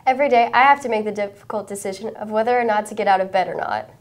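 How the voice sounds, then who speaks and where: A woman's voice: medium pitch, racing. A teenage girl co-hosting a student news show with a playful, teasing delivery. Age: teens.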